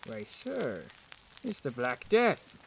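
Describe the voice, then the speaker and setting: A man's voice: sits high, very animated, slow pace, gravelly, a bit bright, slight background noise, heavily acted, low-quality recording. A man playing a blunt, sardonic village farmer with a drawling delivery in a period audio drama. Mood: wry, gloomy.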